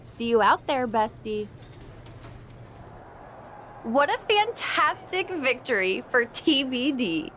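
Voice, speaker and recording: A woman's voice: high-pitched, big pitch swings, takes it slowly, pauses often, a bit bright, slight background noise, exaggerated voice. A woman playing a vain, self-absorbed young pro wrestler in a video game, breezy and gushing with a sugary passive-aggressive edge. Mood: mock-sweet. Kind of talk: video game cutscene acting.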